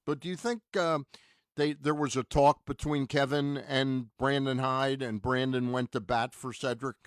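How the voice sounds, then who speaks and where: A man hosting a sports talk show, asking casual interview questions in a halting way and repeating himself. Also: medium pitch, fairly even intonation, clear, resonant tone, no room sound, clean studio sound.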